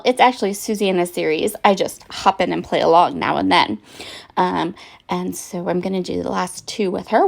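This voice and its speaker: A woman's voice: mid-range voice, vocal fry, darkish tone. A middle-aged woman with a slightly froggy voice, chatting casually and laughing at herself while narrating her scrapbooking process. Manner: chatty, self-deprecating, laughs often. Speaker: scrapbooking channel host. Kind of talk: crafting process voice-over.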